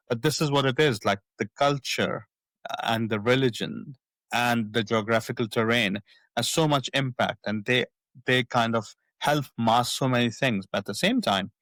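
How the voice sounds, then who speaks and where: A middle-aged man with a Pakistani accent speaks reflectively as an interview guest, circling back and repeating words as he thinks. His voice sits at an ordinary pitch and sounds dry and close. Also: fairly bright tone, no room sound. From northwest Pakistan. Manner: thoughtful, frequent repetitions and restarts. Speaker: psychiatrist guest.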